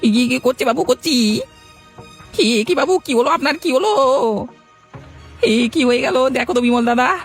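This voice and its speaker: A humble, fretful village man voiced by a man with an extremely high-pitched voice. He talks plainly and earnestly in a cartoon, faint music underneath. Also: animated, measured pace, vocal fry, long pauses, full character voice. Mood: anxious. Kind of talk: cartoon dialogue.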